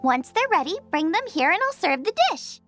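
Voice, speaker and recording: A woman's voice: high-pitched, big pitch swings, a little fast, over music. A woman voicing an eager, confident young female chef in a video game, with a perky, enthusiastic manner. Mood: encouraging. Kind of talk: video game character voice acting.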